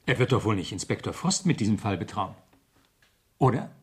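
A man's voice: on the low side, big pitch swings, brisk. Stiff young-ranking policeman, faintly noisy recording. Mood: formal. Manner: formal, reserved. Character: stiff, correct, disapproving new policeman, male subordinate. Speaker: actor in a radio crime drama. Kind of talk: 1970s German radio play acting. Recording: 1970s radio recording, faint noise.

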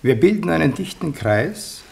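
Nosy bossy sceptic in a radio play, gravelly, very up and down, brisk. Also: male voice, fairly high pitch, very animated, darkish tone. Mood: brisk, officious. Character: curious, officious male sceptic organizing a test. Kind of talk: German radio play acting.

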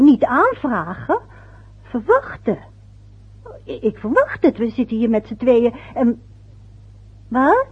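A woman's voice: high voice, darkish tone, breathy edge, heavily acted. An actress playing a middle-aged mother who chatters and mutters to herself about her family. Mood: flustered. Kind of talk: radio play acting. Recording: dull lo-fi old radio recording.

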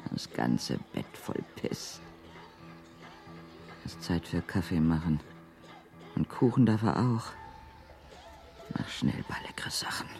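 A put-upon, grumbling carer of radio drama, with a low, dark voice. The muttered, half-rhymed delivery rises and falls widely over faint music. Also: male voice. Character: put-upon, grumbling carer doing chores for a helpless man.

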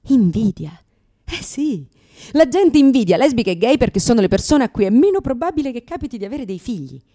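A woman's voice: fairly high pitch, very animated, a little fast, warm tone. Woman doing a fed-up mom in her 30s, sarcastic and brash, voice all over the place, radio theatre monologue. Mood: sardonic. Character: sardonic, foul-mouthed, exasperated new mother in her thirties.

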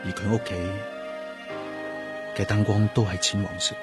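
A man's voice: fairly low pitch, level tone, moderate pace, lightly acted. Lonely Cantonese-speaking detective played by a man, music behind. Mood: wistful, subdued. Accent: Hong Kong Cantonese. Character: lonely, grieving male police detective, gentle and wistful. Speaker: actor. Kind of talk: radio drama, narrated inner monologue and dialogue. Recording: music under the voice. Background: background music.

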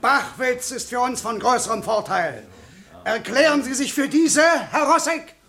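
An actor playing a stubborn local objector at a political meeting in a 1960s German radio play. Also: male voice, very high-pitched, measured pace, bright, forceful tone, exaggerated voice.

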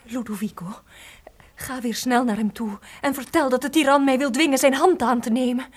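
A woman's voice: high voice, normal speed, slightly rough. An actress playing an anxious captive noblewoman in a radio drama, speaking formally and urgently. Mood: pleading, hopeful. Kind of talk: theatrical Dutch radio drama acting.

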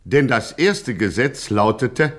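An actor playing a grandiloquent narrator of a gangster satire, speaking in a pompous, mock-solemn way. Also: male voice, medium pitch, lively intonation, slow pace. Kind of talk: scripted satirical radio narration.

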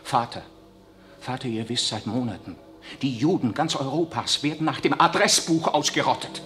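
An actor playing an idealistic young priest, speaking with impassioned, declamatory fervor in a 1960s radio drama. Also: male voice, medium pitch, moderate pace, fairly bright tone, soft background music, close to an everyday voice. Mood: troubled.

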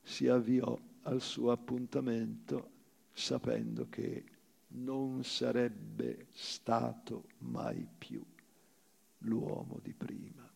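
Slow, very creaky man reading in a hall. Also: mid-range voice, animated, darkish tone. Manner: deliberate, measured phrasing with weighty pauses. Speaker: actor reading literature on stage. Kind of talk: dramatic literary reading. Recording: reverberant hall, live stage reading.

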